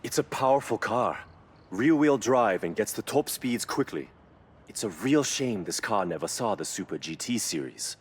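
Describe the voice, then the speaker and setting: Male car expert for a video game: lowish, very expressive voice, measured and admiring. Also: husky. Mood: regretful. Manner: measured, explanatory, impressed. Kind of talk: scripted video game dialogue.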